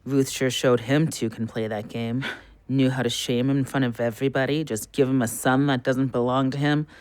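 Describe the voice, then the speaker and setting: A man acts as a bitter, jealous husband in an audio drama, taunting and sarcastic. His voice is clear and smooth, recorded close and dry. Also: mid-range voice, fairly even intonation, moderate pace, darkish tone, no echo, close to an everyday voice. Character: Johnny, jealous, bitter, hard-drinking husband.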